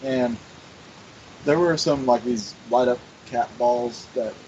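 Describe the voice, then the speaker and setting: A man's voice: medium pitch, slow, darkish tone. A man who is a guest on a paranormal talk radio show, talking hesitantly with many fillers and restarts. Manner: hesitant, full of "like" and "uh". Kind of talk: casual talk radio conversation. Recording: dull lo-fi sound with faint hiss.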